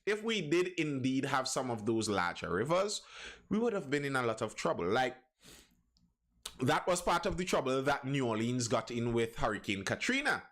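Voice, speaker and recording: A man's voice: mid-range voice, very animated, moderate pace, a bit bright. A Trinidadian man hosting a live stream, chatting loosely and reading out viewer comments with many restarts. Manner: chatty, digressive, restarts sentences. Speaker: live show host.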